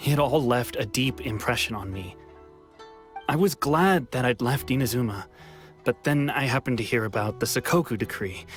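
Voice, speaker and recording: A man's voice: mid-range voice, lively intonation, normal speed, close to an everyday voice. A wistful young wanderer, voiced by a man, with a mid-range, earnest voice that drifts into sighs. He sounds tired yet sincere, over faint game music. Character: weary young man returned from exile. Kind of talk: video game voice acting.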